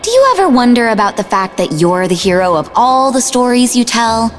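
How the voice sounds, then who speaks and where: Sharp-tongued, lively game sidekick. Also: female voice, a little high, brisk. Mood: sceptical, teasing. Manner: teasing, blunt. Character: sharp-tongued, sceptical young female sidekick. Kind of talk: video game cutscene acting. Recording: music behind the voice.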